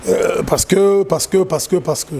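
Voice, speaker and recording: A man from Congo with a Congolese French accent, chatting as a guest in an interview, speaking in a halting, measured way with repeated words. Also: sits high, vocal fry, background noise. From Democratic Republic of the Congo. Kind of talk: casual interview chat.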